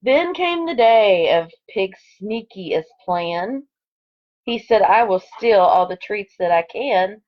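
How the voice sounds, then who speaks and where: Female primary teacher for online story time, mid-range voice, chatty and expressive with young children, dull home-recorded sound. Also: mid-range voice, slow. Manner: chatty asides between expressively read story lines. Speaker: second grade teacher. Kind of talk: read-aloud to children online.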